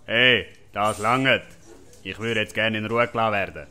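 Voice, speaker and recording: An actor playing a gruff, curt Swiss folk hero in a Swiss German comic audio drama, blunt and dismissive. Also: male voice, lowish voice, moderate pace, sharp tone. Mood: grumpy.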